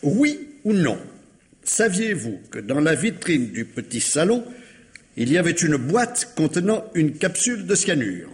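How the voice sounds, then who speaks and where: An actor playing a courteous, insistent police commissioner who repeats himself in measured questioning, in old radio mystery style. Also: male voice, fairly high pitch, very animated. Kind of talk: 1950s French radio mystery acting. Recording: reverberant hall-like radio drama sound.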